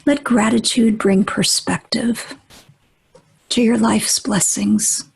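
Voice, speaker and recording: A woman leading a guided meditation in a gentle, deliberate manner, with long pauses and a breathy, husky voice that often nears a whisper. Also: a little high, steady tone, low-quality recording.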